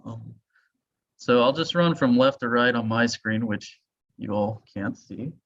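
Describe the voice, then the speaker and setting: Male webinar host, medium pitch, informal and a little halting, muffled call sound. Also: a bit bright. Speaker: host and moderator. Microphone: video call. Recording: dull, muffled video-call audio.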